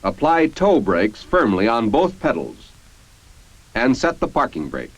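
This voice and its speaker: An American man reading scripted 1950s military training-film narration in a formal, measured, instructional manner. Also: medium pitch, huge pitch swings. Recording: dull, band-limited 1950s film soundtrack.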